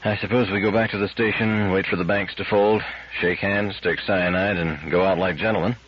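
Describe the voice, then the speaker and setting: A man voicing a stolid, blunt male space engineer in an audiobook, husky and flat with a sardonic edge. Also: low voice, fairly quick, lo-fi sound, background noise, lightly acted. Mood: dull, bitter. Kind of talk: audiobook character dialogue.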